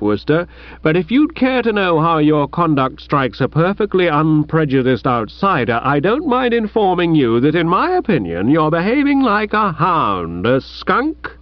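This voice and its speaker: A man's voice: a little high, no echo. A man voicing an indignant upper-class young Englishman who huffs and declaims in moral outrage. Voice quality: huffy, declamatory, snorting. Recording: dull muffled recording.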